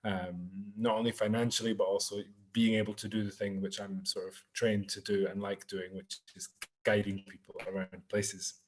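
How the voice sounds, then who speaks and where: A Scottish man in his thirties, a tour guide giving a relaxed, chatty virtual tour over a video call. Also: on the low side, moderate pace, rough recording. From Scotland. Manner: relaxed and chatty, sprinkling in French words. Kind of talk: live virtual tour presentation. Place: home in Marseille.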